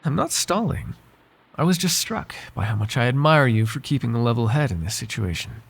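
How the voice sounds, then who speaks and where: Male actor as devoted, courtly butler for ASMR roleplay; soft-spoken, husky, slightly gravelly, politely flirtatious, prone to hesitation. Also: mid-range voice, very animated, fairly quick, full character voice. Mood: fond, flirtatious. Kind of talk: ASMR roleplay voice acting, intimate one-sided dialogue.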